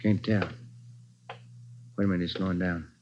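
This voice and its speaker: An actor playing an easygoing police sergeant partner who talks casually, on an old radio drama recording. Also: male voice, low-pitched, fairly even intonation, normal speed, lightly acted. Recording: dull old radio broadcast with noise.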